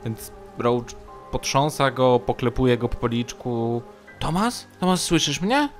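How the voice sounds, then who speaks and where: A man's voice: mid-range voice, animated, unhurried, soft background music. A man taking part as a player in a tabletop game, casually describing actions and speaking in character.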